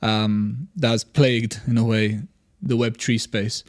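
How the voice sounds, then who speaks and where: A man co-hosting a podcast, asking long measured questions with fillers. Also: medium pitch, fairly even intonation.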